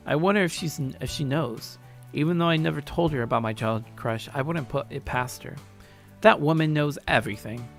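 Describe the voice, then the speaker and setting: A man narrating a first-person story aloud in an expressive way, now and then stumbling over his words. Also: on the high side, a little fast, creaky. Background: faint music.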